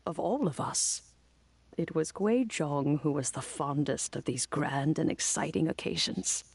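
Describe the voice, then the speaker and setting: A woman playing a kindly old granny in a video game, speaking in a measured, reflective and gentle way. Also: sits low, husky, no room sound, exaggerated voice. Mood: wistful, fond. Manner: measured, reflective, with soft sighs. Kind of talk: scripted video game dialogue.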